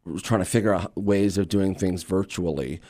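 A man from Louisiana speaking as an actor guest in an interview, telling stories in an enthusiastic, conversational and animated way. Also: deep voice, normal speed. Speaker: interview guest, actor and radio drama creator.

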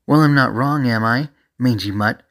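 A brash policewoman in an audiobook, acted out by a man. The voice is pushed up high and loud. She sounds indignant and bossy, with a short temper. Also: normal speed, close to an everyday voice. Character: brash, hot-tempered female police deputy squad leader.

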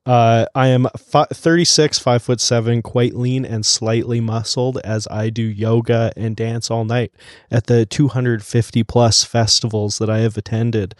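A man playing a tabletop game, giving a deadpan first-person introduction of his character. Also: mid-range voice, a little slow, dry recording, clean studio sound. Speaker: player.

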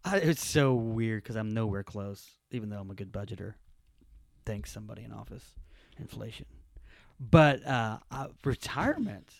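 A man in his fifties, a youth pastor and comedian, chatting casually on a podcast with a joking, animated manner. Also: on the low side, moderate pace, gravelly, dry recording. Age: 50s. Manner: jokey, animated storyteller.

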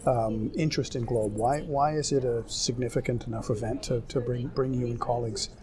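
A man hosting a podcast interview at a conference, asking questions in a halting way full of restarts and fillers. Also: mid-range voice, steady tone. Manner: hesitant, many restarts and fillers. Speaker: podcast host. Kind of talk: conversational interview. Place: conference venue. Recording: busy crowd chatter behind.

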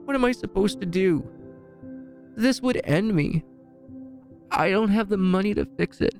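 A man's voice: sits high, expressive, measured pace, creaky, a little mellow, faint music behind, exaggerated voice. A man playing a proud, slippery brother in money trouble in an audio drama, desperate and cynical.

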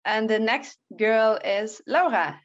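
Woman host chatting easy, bit higher voice, kinda muffled lo-fi sound. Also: slow. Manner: friendly, hesitant with fillers. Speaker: podcast host. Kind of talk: casual interview chat.

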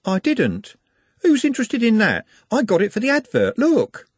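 A stubborn young woman from a storybook, pitched far above the reader's own voice, as a man puts her on. She repeats herself with a husky, breathy edge and a lively, swooping delivery. The sound is dry and close. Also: normal speed, dry recording, exaggerated voice. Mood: insistent. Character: young woman, blunt and insistent.

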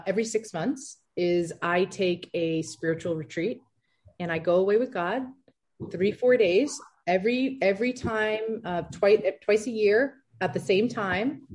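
A woman in her thirties, an entrepreneur answering interview questions in a chatty, lively way with many fillers. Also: mid-range voice, unhurried. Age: 30s. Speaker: entrepreneur guest.

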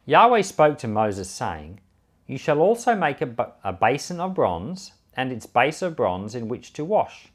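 A man reading scripture aloud in a measured, emphatic, solemn way. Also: medium pitch. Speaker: Bible reader and podcast host.

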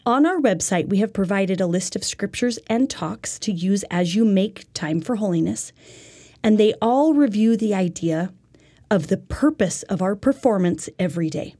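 A woman's voice: medium pitch, lively intonation, normal speed, mellow, slightly rough. A woman presenting a religious self-help lesson in an earnest, instructive way.

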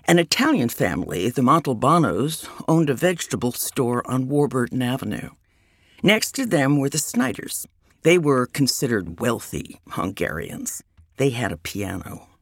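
Woman narrator for memoir reading, gravelly and animated in pitch, close dry mic. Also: no room sound. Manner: unhurried reminiscing narration.

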